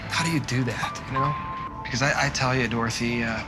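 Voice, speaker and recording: An actor playing a distressed, self-pitying man seeking advice in halting, hesitant film dialogue. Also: medium pitch, fairly even intonation, loud music behind the voice, lightly acted. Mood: troubled, pleading.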